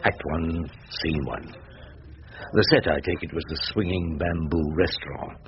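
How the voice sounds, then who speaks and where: An actor playing a wily older English defence barrister in a radio drama, sardonic and theatrical. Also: male voice, very deep voice, a little slow. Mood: wry narrating. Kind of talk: BBC radio drama acting and narration. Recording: dull lo-fi radio recording, faint hiss.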